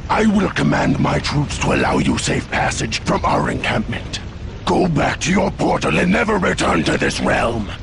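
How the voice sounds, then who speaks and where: A male actor playing a brutal, proud, menacing monster warrior in a fighting game, with a growling, gravelly voice. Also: fairly high pitch, huge pitch swings, normal speed, noisy background, full character voice. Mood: proud, gruff. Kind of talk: fighting game cutscene acting.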